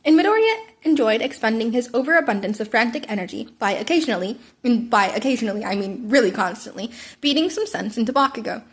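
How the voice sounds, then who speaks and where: A woman's voice: on the high side, lively intonation, quick pace, husky. A woman reading fan fiction aloud as an informal story narrator.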